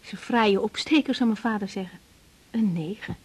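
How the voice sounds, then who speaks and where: An actress playing a young woman doctor, earnest and unsure, often speaking softly in a radio drama. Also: medium pitch, moderate pace, slightly rough, natural voice. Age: young adult.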